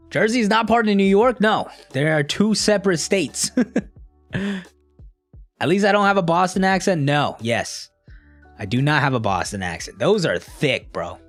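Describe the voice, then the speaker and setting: A man's voice: on the high side, expressive, unhurried, pauses often, no echo, faint music behind. A young man casually chatting with a live stream audience in a laid-back, slangy, rambling way. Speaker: streamer answering live chat.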